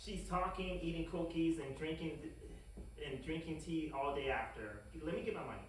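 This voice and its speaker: A man playing a smug, greedy, wicked scientist in an animated comedy table read, stammering and brushing others off. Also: fairly high pitch, moderate pace, natural voice. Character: smug wicked male mad scientist, greedy, childishly defensive, scheming. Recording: roomy echo, distant mic, faint hiss.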